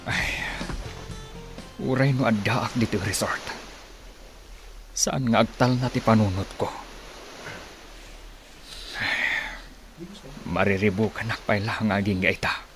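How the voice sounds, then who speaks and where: A man's voice: on the low side, fairly even intonation, takes it slowly, pauses often, fairly bright tone, faint music behind. An actor playing a troubled, resolute man, speaking an earnest, brooding monologue in a radio drama.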